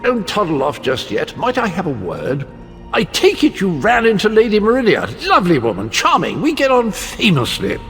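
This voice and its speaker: A breezy British fop, high-voiced with wildly swooping intonation. He sounds chirpy, flippant and posh, a game trickster speaking in a reverberant hall. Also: huge pitch swings, normal speed, a bit bright, full character voice. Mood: chummy, boastful. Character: jaunty, foppish, breezy gentleman trickster. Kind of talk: video game character acting. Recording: hall reverb, music behind.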